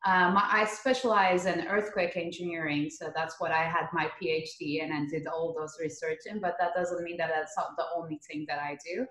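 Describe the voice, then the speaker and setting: A woman's voice: sits low, steady tone, normal speed, few pauses, a bit bright. A woman in her thirties with a Turkish accent, giving conversational interview answers as an engineer on a podcast. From Turkey. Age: 30s. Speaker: podcast guest, geotechnical earthquake engineer. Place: living room at home, indoors. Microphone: computer mic on a video call. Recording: roomy echo on video call.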